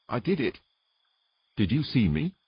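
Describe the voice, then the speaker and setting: A man playing a grumpy, reluctant knight in a romantic fantasy audio drama, grumbling and breathy. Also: mid-range voice, lo-fi sound, exaggerated voice. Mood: breathless pride. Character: grumpy, reluctant male knight learning to swim.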